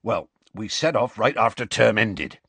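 A man voicing a huge, gruff, secretive half-giant with rustic, muttering speech for a storybook reading. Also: lowish voice, animated, measured pace, pushed and bright, no echo, heavily acted.

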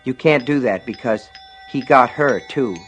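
A man's voice: mid-range voice, measured pace, fairly bright tone, soft background music, close to an everyday voice. A man voicing a despairing boy, an older brother in a story, with a solemn, insistent and detached manner. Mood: solemn insistence.

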